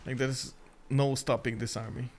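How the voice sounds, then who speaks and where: A man from Romania with a Romanian accent, hosting a stream and commentating a strategy game in chatty, halting talk that breaks into frequent laughs. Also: mid-range voice, little pitch movement. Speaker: esports caster and stream host.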